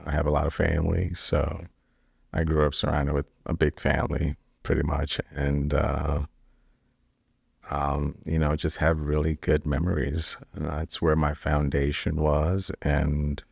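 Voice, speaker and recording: Founder from Kenya, middle-aged man, very low flat gravelly voice, dry close sound. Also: unhurried, no room sound. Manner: relaxed, rambling, many fillers and restarts. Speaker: business founder. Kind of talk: interview answers.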